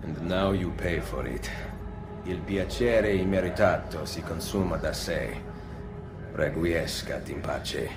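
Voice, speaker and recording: A man's voice: sits low, unhurried, music in the background. A man playing a stern, composed Italian assassin in a video game, speaking solemnly with an Italian accent.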